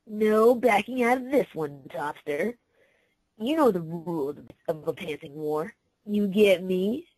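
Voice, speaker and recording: A woman voicing a cocky, teasing young female pony DJ in a storybook reading, with exuberant, drawn-out taunts and a husky voice. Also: mid-range voice, expressive, darkish tone, dry recording, low-quality recording.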